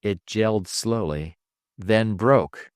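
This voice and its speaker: A man reading fiction aloud as an audiobook narrator, in an expressive, theatrical storytelling manner. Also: fairly low pitch, slow pace, clear, resonant tone, no room sound, studio quality.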